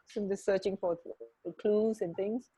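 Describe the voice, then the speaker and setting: Slow-talking woman in casual radio chat. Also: medium pitch, steady tone, dark tone, husky, low-quality recording. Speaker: radio co-host.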